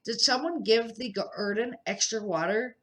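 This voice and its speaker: An older woman voicing a patient, encouraging woman schoolteacher in a storybook, with gentle, instructive delivery. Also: a little high, unhurried. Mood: puzzled. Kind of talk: children's storybook character reading.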